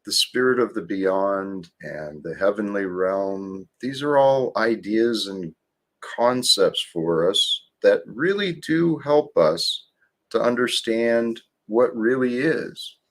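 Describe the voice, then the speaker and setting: A man hosting a livestream, talking slowly and calmly about faith and gratitude in a soothing, gently preaching manner. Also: lowish voice. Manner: slow, calm, gently preaching. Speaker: livestream host. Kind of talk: spiritual livestream talk.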